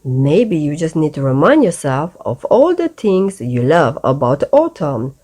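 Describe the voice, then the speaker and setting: Woman as encouraging mother cat, lilting and slow. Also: deep voice, sing-song, mellow, full character voice. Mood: reassuring.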